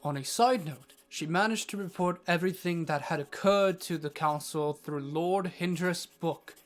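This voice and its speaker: A man reading scripted narration for a fantasy audio drama, recounting the tale in the third person. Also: on the high side.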